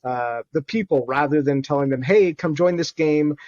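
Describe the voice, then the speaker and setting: A man's voice: on the high side, moderate pace, darkish tone, dry recording, lo-fi sound. A man hosting a podcast interview, talking in a loose, reflective way full of fillers. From United States. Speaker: podcast host.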